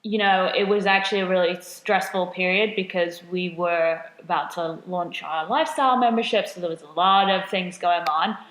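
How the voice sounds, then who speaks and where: A woman's voice: fairly low pitch, moderate pace, hardly any pauses, a bit bright. A woman co-hosting a casual podcast chat as a nutrition coach, chatty and self-deprecating, often laughing at herself. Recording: roomy echo.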